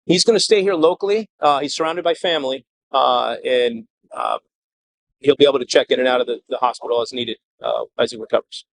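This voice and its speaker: A man speaking as a police chief at a press briefing, earnest and measured, with a halting delivery as he gives a statement and answers questions. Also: mid-range voice, vocal fry, dry recording, low-quality recording.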